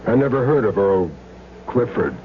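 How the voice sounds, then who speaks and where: Male actor as cagey American hired gun, very animated intonation, streetwise, self-justifying, radio crime drama, muffled hissy old recording. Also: medium pitch, moderate pace, close to an everyday voice. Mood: cagey, dry. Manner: streetwise, plain slangy talk, earnest self-justifying. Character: cagey small-time hired gun confessing, insisting he's clean. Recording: dull, noisy old radio recording with hum.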